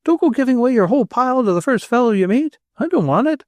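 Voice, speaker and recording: A man's voice: extremely high pitch, expressive, fast talker, darkish tone, dry recording, voice pushed up. A man voicing a brash young fellow who exclaims and urges in a raised voice. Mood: aghast. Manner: exclaiming, urgent.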